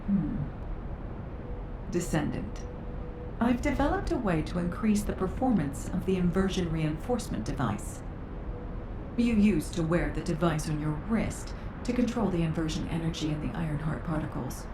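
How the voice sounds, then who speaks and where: An actress playing a composed, clever sci-fi inventor in a game, speaking with deliberate self-assurance. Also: female voice, animated, close to an everyday voice. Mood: proud, composed. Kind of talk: scripted game dialogue. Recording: roomy, with clear rumbling background noise.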